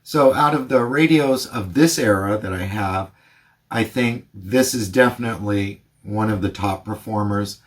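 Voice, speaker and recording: A man doing radio test calls and product review narration in a plain, measured way. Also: fairly low pitch. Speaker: host of a radio review channel.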